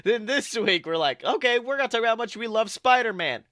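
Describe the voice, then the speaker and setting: Bright-voiced man hosting a comedy podcast. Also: very high voice, animated, fast, a little hiss or hum. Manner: jokey, profane, theatrical. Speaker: podcast host. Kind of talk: casual comedic podcast chat.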